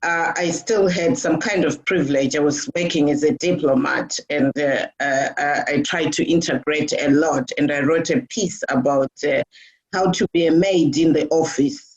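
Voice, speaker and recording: Interview guest: middle-aged woman from Zimbabwe, halting speech, dull recording. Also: sits low. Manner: hesitant, many fillers and restarts. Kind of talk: casual interview talk. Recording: dull lo-fi audio.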